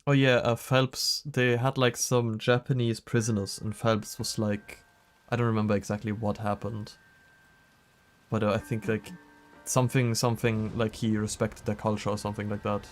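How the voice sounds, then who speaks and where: A man's voice: on the low side, little pitch movement, normal speed, a little hiss or hum. Young man rambling casually over gameplay. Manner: joking, rambling. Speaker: game streamer.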